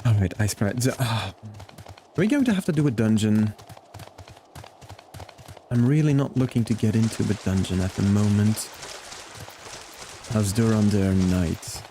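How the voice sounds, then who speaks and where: Young man, casual stream chat, relaxed, chatty, game music behind. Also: lowish voice, measured pace, pauses often, slight background noise. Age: 20s. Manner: chatty, relaxed, chats with viewers while playing.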